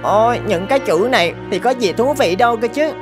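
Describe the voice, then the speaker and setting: A woman's voice: a little high, animated, brisk, close to an everyday voice. Woman as a whiny little weasel pupil. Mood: grumbling. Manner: whiny, grumbling. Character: lazy, carefree young fox-like weasel pupil. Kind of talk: dubbed children's cartoon. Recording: music behind the voice.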